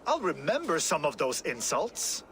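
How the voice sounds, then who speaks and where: A man's voice: on the high side, wildly swooping pitch, normal speed, fairly bright tone, slight background noise, exaggerated voice. An actor voicing a boisterous male rival in a rhyming duel, full of exuberant, theatrical exclamations, in a video game. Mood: delighted. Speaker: game character.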